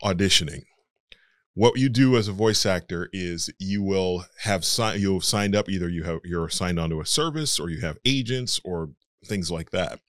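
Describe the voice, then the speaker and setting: A man's voice: low voice, normal speed, dry recording, studio quality. A male voice actor being interviewed, explaining his trade in a frank, matter-of-fact conversational way. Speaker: voice actor guest.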